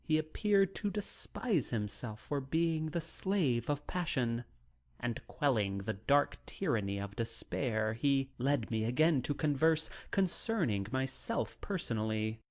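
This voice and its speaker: A male narrator reads a classic novel in a medium-pitched voice. His pace is very slow and deliberate. The delivery is solemn, literary and dramatic. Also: animated. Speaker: audiobook narrator.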